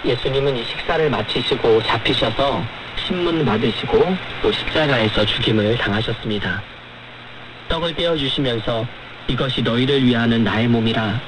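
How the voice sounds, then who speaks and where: A man's voice: mid-range voice, normal speed. Male pastor preaching on noisy shortwave radio. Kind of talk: radio sermon.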